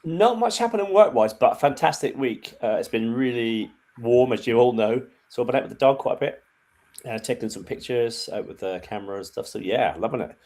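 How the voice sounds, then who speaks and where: A man co-hosting a casual chat, sounding cheerful and brisk. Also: mid-range voice, expressive, mellow. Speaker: podcast co-host.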